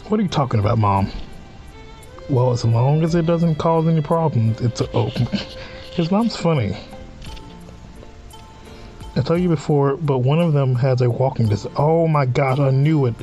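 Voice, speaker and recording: A man's voice: medium pitch, unhurried, long pauses, mellow, lo-fi sound. A man reading a visual novel aloud as a let's play host, dropping in informal asides and reactions. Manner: informal asides between read-out lines. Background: game music.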